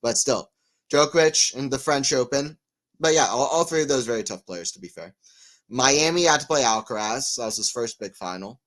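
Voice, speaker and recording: Guy streaming tennis play-by-play, chatty, gets loud on rallies, mid-pitched voice. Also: normal speed. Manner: excitable on rallies, rambling and self-mocking between points. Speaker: tennis watchalong commentator. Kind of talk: live play-by-play and casual chat.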